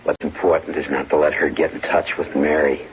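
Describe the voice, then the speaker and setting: Very deep-voiced scheming husband. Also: male voice, level tone, brisk. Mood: irritated, worried. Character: cold, scheming husband, a stage actor plotting. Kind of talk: old-time radio drama acting. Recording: dull old radio recording, humming noise.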